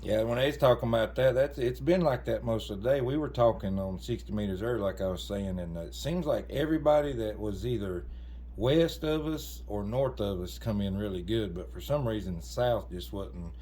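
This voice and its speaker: A man's voice: fairly low pitch, normal speed, mellow, a little hiss or hum. A man chatting casually as an amateur radio operator, in a folksy way with colloquial grammar.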